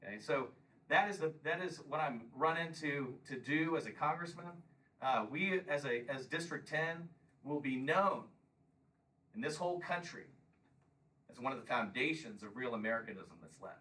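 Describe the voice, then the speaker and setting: A man's voice: mid-range voice, animated, normal speed, sharp tone, distant mic, low-quality recording. A man in his fifties giving a combative campaign speech and taking questions at a local meeting, often pressing "Okay?". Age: 50s. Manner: combative, emphatic, repeats "Okay?". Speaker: congressional candidate.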